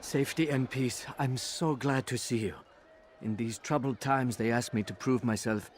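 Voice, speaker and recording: A man's voice: mid-range voice, moderate pace, lightly acted. An actor playing a weary, deferential male informant in a video game.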